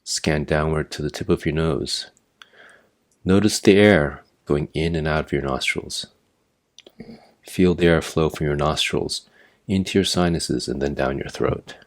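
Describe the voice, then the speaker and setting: A man with an extremely low-pitched voice leads a guided meditation. He speaks as an instructor, giving measured, step-by-step directions in a plainly instructional way. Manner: measured, imperative step-by-step guidance.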